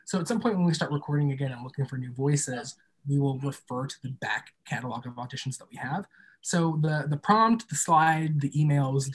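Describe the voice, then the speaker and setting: A man's voice: medium pitch, slightly rough, rough recording. A man speaking as an interview guest in a casual, informal and thoughtful way about his own work. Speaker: podcast creator and guest being interviewed.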